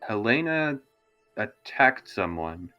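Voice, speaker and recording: A man's voice: on the low side, very animated, takes it slowly, pushed and bright, muffled recording, soft background music. A man playing in a tabletop roleplaying game, casually and hesitantly describing his character as he feels for words.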